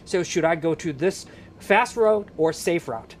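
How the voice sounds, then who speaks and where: Guy guest explaining stuff on a live show, lots of uh's, kinda high voice, light hiss. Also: lively intonation, measured pace. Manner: explanatory, frequent hesitations. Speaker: guest skiing enthusiast. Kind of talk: live talk show commentary.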